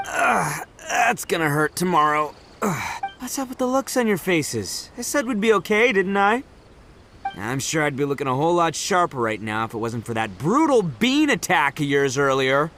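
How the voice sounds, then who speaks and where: A man's voice: a little high, sing-song, moderate pace, slight background noise. An actor playing a brash, cheerful young male oni gang boss who laughs and boasts as he talks. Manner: boisterous, laughing, rambling and boastful. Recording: game audio with soft music.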